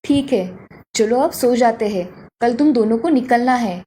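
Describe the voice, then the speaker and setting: A woman's voice: on the high side, measured pace, dark tone, close to an everyday voice. A woman voicing a caring mother and her college-age daughter in a dramatised cartoon story. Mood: caring, gentle.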